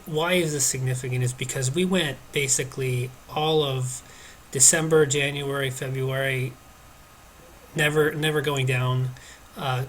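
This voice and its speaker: A man's voice: mid-range voice, a little hiss or hum, rough recording. A man with a stuffed-up nose from allergies, casually talking through stock charts on his screen with many hesitations and restarts. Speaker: stock market commentator.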